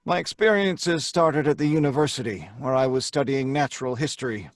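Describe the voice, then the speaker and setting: A man's voice: medium pitch, a little fast, dry recording, lightly acted. An actor playing an earnest, obsessed young scientist telling his grim tale in an old-time radio drama style. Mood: grave, haunted. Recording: music under the voice.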